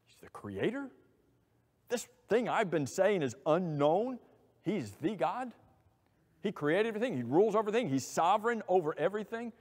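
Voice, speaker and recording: A middle-aged man preaching a sermon from a church pulpit, animated and asking many rhetorical questions. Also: high voice, normal speed. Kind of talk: expository sermon. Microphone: lapel mic. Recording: long hall reverb.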